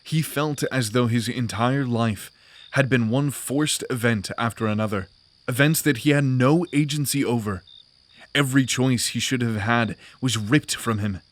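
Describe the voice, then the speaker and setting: A man narrating a scripted fantasy audio drama in a deliberate, grave storytelling manner. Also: medium pitch.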